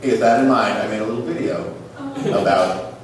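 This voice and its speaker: A man hosting a live comedy show, reading aloud for laughs with theatrical, drawn-out emphasis. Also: fairly low pitch. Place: small theater stage. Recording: echoey, noisy live recording from far off.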